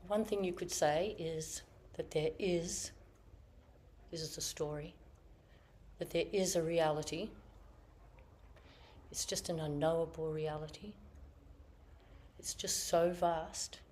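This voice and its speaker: A woman Zen teacher giving a dharma talk in slow, reflective, searching phrases, her voice a little croaky after being ill. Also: low voice, steady tone, darkish tone, slight background noise. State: slightly croaky from illness. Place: meditation hall with online audience.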